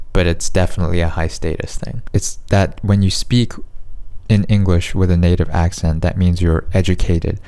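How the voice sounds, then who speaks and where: Male vlogger, 30s, North American accent, lower-pitched, conversational and self-correcting, bedroom desk-mic recording. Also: darkish tone, smooth. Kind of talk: casual talking-head commentary. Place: small bedroom at night. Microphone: desk microphone in front.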